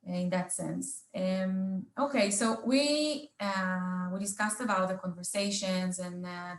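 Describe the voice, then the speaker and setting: Halting, slow-paced woman hosting a roundtable. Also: medium pitch, roomy sound. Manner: halting, hesitant delivery with very frequent filled pauses. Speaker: roundtable host. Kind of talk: unscripted business presentation in a panel discussion.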